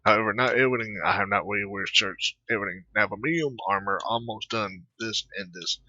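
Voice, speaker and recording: A man hosting a casual gaming playthrough, halting and rambling as he reads dialogue aloud and mutters asides, on a dull, dry mic with clicking. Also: lowish voice, bright, forceful tone, breathy edge. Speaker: gaming channel host. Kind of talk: casual let's play commentary, reading dialogue aloud.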